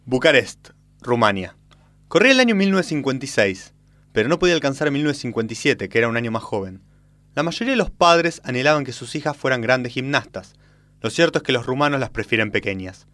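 A man playing a solemn, deadpan announcer who narrates a mock period saga in a radio comedy. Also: medium pitch, quick pace, pitched below the performer's own voice, close to an everyday voice. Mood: solemn, declaiming.

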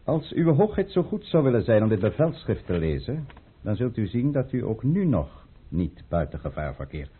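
An actor playing a scheming count in a radio drama, formal, courtly and outwardly deferential. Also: male voice, on the low side, big pitch swings, moderate pace, a little hiss or hum. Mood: courtly, calculating. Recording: muffled, phone-like old radio play recording.

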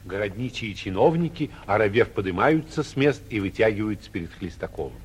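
A man's voice: on the low side, expressive, a little fast, breathy edge. A man narrating the stage directions of a 1940s radio drama.

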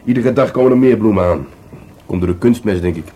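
An actor playing a grumbling but playful police detective in a radio play, speaking informally with a Netherlands Dutch accent. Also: male voice, lowish voice, quick pace, dark tone, lightly acted. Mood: relaxed, chatty. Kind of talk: naturalistic radio play dialogue. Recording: faintly noisy radio play recording.

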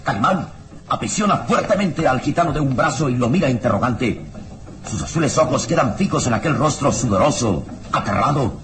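A man's voice: mid-range voice, expressive, a little fast. A man narrating a radio serial in a solemn, suspenseful manner. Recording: old radio serial with music. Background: dramatic music.

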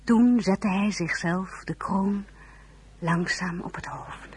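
An actress playing a composed, polite young marshal's wife in an audio drama, formal and restrained. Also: female voice, medium pitch, takes it slowly, a little mellow, slightly rough. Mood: reflective. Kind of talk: audio drama narration and dialogue.

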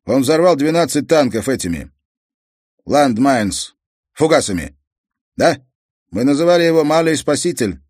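A man's voice: mid-range voice, big pitch swings, moderate pace, pauses often, darkish tone, no room sound, clean studio sound, voice pushed up. A man voicing a weary immigrant father with a Croatian accent who speaks in broken, insistent sentences. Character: immigrant father, former engineer, blunt and weary.

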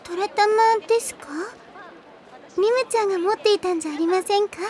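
A woman voicing a sweet, courteous teenage big sister in an anime audio drama, speaking politely and gently. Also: very high-pitched, brisk. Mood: kind, attentive. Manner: polite, soft-spoken, caring.

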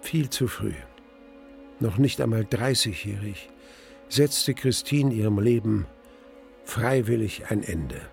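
An actor playing a grieving nobleman in a radio drama, recounting his tragic marriage in a sombre, measured, husky voice. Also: lowish voice, darkish tone, soft background music. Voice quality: husky, gravelly. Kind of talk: radio drama first-person narration.

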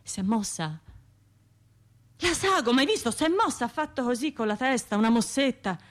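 An actress as an anguished, self-doubting Tuscan woman, swinging pitch. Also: animated, natural voice. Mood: wry, agitated. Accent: Tuscan Italian. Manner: halting, self-questioning, argues with herself. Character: anguished, guilt-ridden woman survivor, self-doubting and restless. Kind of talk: radio drama monologue, talking to herself.

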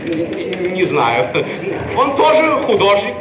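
Gushing servile painter, super high and animated, radio play, echoey noisy old recording. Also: male voice, slow pace, full character voice. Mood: flattering, effusive. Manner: obsequious, effusive, exclamatory. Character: fawning, gushing male court painter, servile flatterer. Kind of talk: theatrical satirical radio play acting.

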